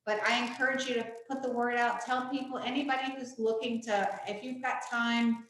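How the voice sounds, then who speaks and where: A woman's voice: fairly high pitch, little pitch movement, fairly quick, a bit bright. A woman hosting an online program for seniors, talking informally and encouragingly over a video call from an echoey room. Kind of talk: casual hosting and announcements.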